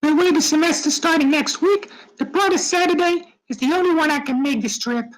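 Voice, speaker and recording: A woman's voice: high-pitched, brisk, natural voice, poor audio. A woman playing a principled activist in her forties, an earnest, argumentative sister, in a screenplay table read. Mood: indignant.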